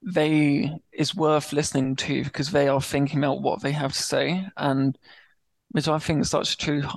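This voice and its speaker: A young man with a stammer, telling his personal story as a podcast guest and pacing his words slowly before speeding up. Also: a little high, level tone, vocal fry, no room sound. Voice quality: speaks with a stammer. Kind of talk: candid personal story in an interview.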